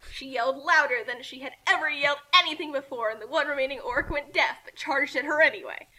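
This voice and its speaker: A woman reading a comic fan-fiction story aloud in animated narration, with casual asides. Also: sits high, quick pace, background noise.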